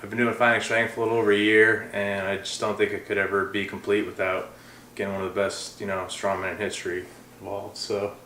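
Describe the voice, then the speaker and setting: Lowish-voiced male interviewer, echoey room. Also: little pitch movement, fast talker, pushed and bright. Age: 30s. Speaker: show host, interviewer. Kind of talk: casual interview chat. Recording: roomy echo, faint noise.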